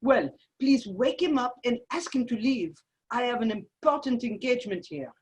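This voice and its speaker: A woman's voice: on the high side, animated, normal speed, a little mellow, slightly rough. A woman playing a stiff, impatient French ambassador with curt, formal manners in a radio play table read. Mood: irritated, commanding. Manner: formal, curt, strained politeness.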